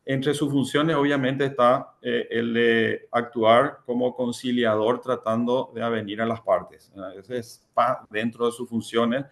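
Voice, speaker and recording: A man presents as a panelist at a conference. He speaks at an average pitch. His delivery is halting, with frequent fillers and restarts. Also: level tone. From Paraguay. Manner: hesitant, many fillers and repeated words.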